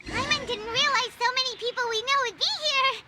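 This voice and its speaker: A woman voicing a small, excitable, childlike fairy companion in a video game, squeaky and exclamatory. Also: fairly quick, full character voice. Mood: chirpy. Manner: refers to self in third person, exclamatory.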